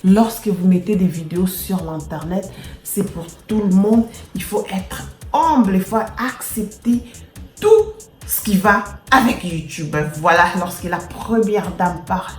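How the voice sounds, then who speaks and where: A woman's voice: medium pitch, measured pace. A woman with a Congolese French accent addressing her subscribers in a casual vlog, emphatic, repetitive and playfully boastful. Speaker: YouTuber. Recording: echoey room with music behind. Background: music.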